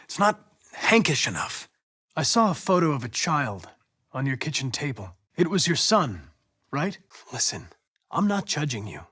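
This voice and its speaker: A man with an American accent playing a polite, determined young android police investigator in a video game, speaking in a precise, formal, measured way. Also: a little high, big pitch swings, bright, forceful tone, husky, heavily acted. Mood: earnest, probing. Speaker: actor voicing a video game character.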